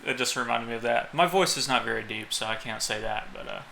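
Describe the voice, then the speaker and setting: Bright-voiced man in halting casual banter. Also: mid-range voice, vocal fry. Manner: halting, chuckles often. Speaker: podcast co-host. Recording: faint background noise.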